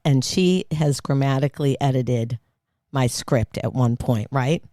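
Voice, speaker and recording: A woman's voice: a bass voice, measured pace, mellow, no room sound, studio quality. A woman hosting a podcast, chatting casually and throwing in playful quips. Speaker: podcast host. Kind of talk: casual interview chat.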